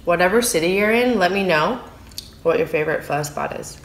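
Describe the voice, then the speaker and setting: A woman's voice: fairly low pitch, fairly quick, echoey room. A woman in her thirties from San Francisco, chatting casually in a food vlog while eating at her dining table, recorded on a phone. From San Francisco, USA. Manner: relaxed, chatty, talking between bites of food. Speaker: food vlogger. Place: small room at home, indoors at a dining table. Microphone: phone camera on the table.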